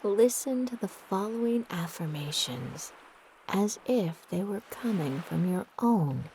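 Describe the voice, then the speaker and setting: A woman narrating a guided meditation in a soft, breathy, gentle and soothing manner with long pauses. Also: mid-range voice, lively intonation, slight background noise, low-quality recording.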